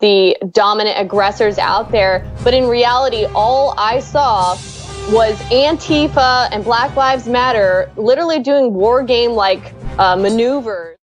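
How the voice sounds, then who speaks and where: A woman's voice: a little high, unhurried, faint music behind. A woman recounting events in an interview as an activist reporter. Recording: dull lo-fi interview clip.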